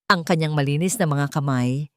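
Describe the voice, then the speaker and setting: A woman reading a dramatic scripted story as narrator, with measured, suspenseful delivery. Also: low voice, fairly bright tone, no room sound, studio quality.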